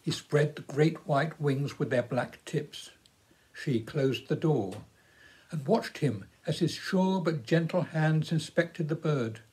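A man's voice: fairly high pitch, takes it slowly, a little mellow, poor audio. A man in his seventies with an English accent, reading a story aloud as a storyteller. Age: 70s. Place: living room at home, indoors.

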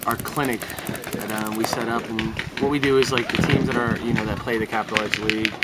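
A man's voice: fairly low pitch, fairly even intonation, brisk. A man in his thirties, a paintball coach, giving a casual, informal interview outdoors. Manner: informal, peppered with "you know". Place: outdoors by a fence and parking lot. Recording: noisy outdoor recording with traffic.

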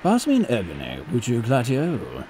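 A man's voice: medium pitch, wildly swooping pitch, normal speed, a little mellow, faint music behind. A man playing a composed young royal advisor in a fan audio drama, formal, precise and drily wry.

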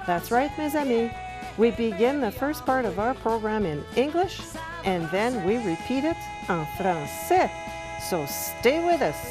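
A woman's voice: fairly high pitch, big pitch swings, a little slow, rough recording. A woman announcer reading a scripted bilingual programme introduction as a voice-over. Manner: mixes English and French phrases. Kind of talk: scripted promotional narration. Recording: voice-over with music behind.

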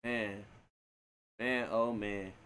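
A man's voice: lowish voice, steady tone, drawn-out words, fairly bright tone, clear, resonant tone. A man streaming a roleplay game, talking casually in profane street slang.

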